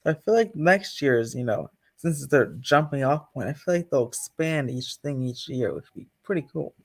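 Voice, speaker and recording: A man's voice: mid-range voice, animated, moderate pace, creaky. A man hosting a casual livestream, chatting off the cuff and addressing viewers. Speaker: channel host.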